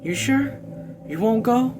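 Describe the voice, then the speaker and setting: A man's voice: very high voice, expressive, slow pace, noisy background, voice pushed up, heavily acted. A man reading a novel aloud as an anxious, weary rural mother who pleads earnestly in folksy dialect. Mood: worried, caring.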